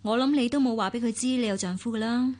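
A woman with a Hong Kong Cantonese accent acting a caring, perceptive family confidante in a radio drama, probing and gently admonishing. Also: on the high side, fast talker, lightly acted.

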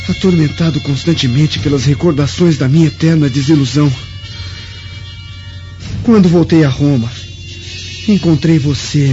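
An actor with a Brazilian accent playing a tormented, noble Roman brother, solemn and declamatory in radio drama style. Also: male voice, on the high side, darkish tone, lightly acted. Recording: muffled radio drama with music.

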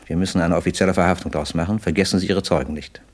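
An actor playing a smooth, calculating crime boss in a 1960s radio play, courteous and businesslike with cool menace. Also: male voice, low voice, steady tone, very fast. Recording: dry 1960s radio studio recording.

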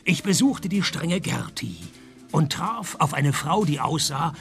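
Gravelly, deadpan cynical private eye. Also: male voice, on the high side, unhurried, soft background music. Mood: dry narrating. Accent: Swiss Standard German. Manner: deadpan, sarcastic. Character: cynical, wisecracking male private detective, dryly cool. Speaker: detective and first-person narrator. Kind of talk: radio play dialogue and scripted narration.

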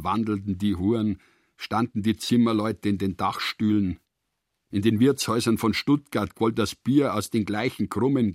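A man narrating literary radio drama in a measured, sober manner. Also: fairly low pitch, no room sound, studio quality.

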